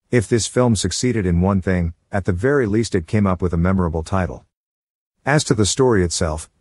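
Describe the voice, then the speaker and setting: A deep-voiced man reads written listener feedback aloud. The sound is very dry, with no room around the voice. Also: darkish tone, husky, dry recording. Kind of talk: reading an email aloud.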